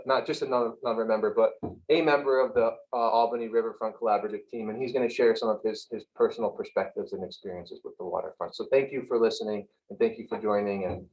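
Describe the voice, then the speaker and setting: A man's voice: mid-range voice, steady tone, quick pace, few pauses, a little mellow. Earnest man, muffled lo-fi sound. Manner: earnest, repeats words while searching. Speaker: community organization speaker. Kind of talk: presentation on a video call. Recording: dull lo-fi audio.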